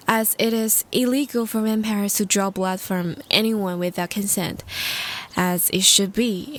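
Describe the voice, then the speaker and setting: A woman's voice: medium pitch, close to an everyday voice. A woman voicing a polite, lovestruck ancient vampire who speaks in formal, old-fashioned, hesitant and earnest phrases in an intimate roleplay audio drama.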